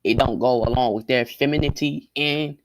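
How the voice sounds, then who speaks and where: A man's voice: mid-range voice, steady tone, brisk, natural voice. A teenage boy acting a fawning, dim-witted gangster henchman in a student radio play. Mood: dim, eager. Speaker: student actor. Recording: noisy, clicking stage recording.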